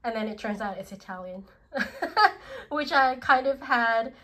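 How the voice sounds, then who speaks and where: A woman with an Australian accent, congested from a cold, reviewing perfumes in a slow, gentle, casual way. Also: on the high side, animated. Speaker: perfume vlogger.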